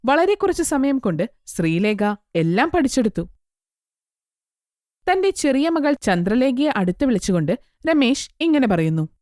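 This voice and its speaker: A woman's voice: a little high, big pitch swings, normal speed, pauses often, a little mellow, dry recording, clean studio sound. A woman giving scripted narration of a children's story as its narrator.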